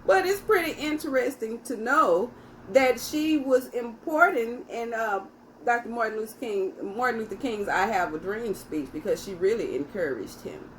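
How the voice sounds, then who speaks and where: A woman's voice: on the high side, lively intonation, moderate pace, a little hiss or hum, poor audio. A woman hosting a children's story time, reading aloud and speaking directly to young listeners in a teacherly way.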